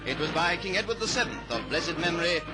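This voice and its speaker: A man's voice: a little high, lively intonation, quick pace. A man narrating a 1930s newsreel in a grand, declamatory, patriotic manner. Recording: old newsreel soundtrack under loud music. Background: loud music.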